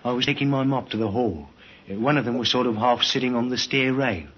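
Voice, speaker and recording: An actor playing a hesitant, deferential building porter in an old-time radio crime drama, halting and stammering as he speaks. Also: medium pitch, slightly rough, close to an everyday voice. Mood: helpful, respectful. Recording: muffled old radio recording.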